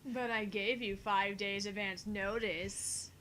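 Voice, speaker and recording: Woman as tired snarky young scientist girl, visual novel read, dry, mid pitch, faint noise. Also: fairly even intonation, takes it slowly, fairly bright tone, low-quality recording.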